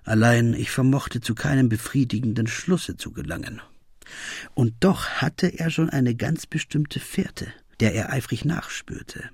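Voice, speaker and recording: An actor playing a detective's loyal, puzzled companion, narrating the case in a measured, literary radio play style. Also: male voice, mid-range voice, gravelly, a little mellow, slightly rough, no room sound, clean studio sound. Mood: measured, reflective.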